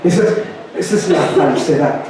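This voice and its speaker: Mexican pastor preaching in an echoey hall. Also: male voice, on the high side, moderate pace, a crowd in the background. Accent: Mexican Spanish. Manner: emphatic preaching, rhetorical questions to the congregation. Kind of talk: sermon. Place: large church hall with congregation. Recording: echoey hall, distant mic, congregation audible.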